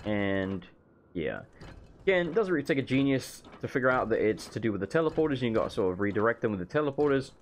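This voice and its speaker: A man with a hoarse voice, losing it from being sick, rambling casually as he shows off his game mod. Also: medium pitch, very animated, quick pace, slight background noise. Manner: rambling, self-correcting, casual swearing. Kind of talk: casual gameplay walkthrough. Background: faint game music.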